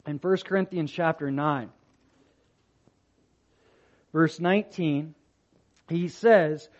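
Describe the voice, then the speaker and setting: A man preaching a sermon in an earnest voice, dropping soft and slow for his main points and rising loud when he reads scripture or acts out a doubting believer. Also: sits high. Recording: dull lo-fi sound.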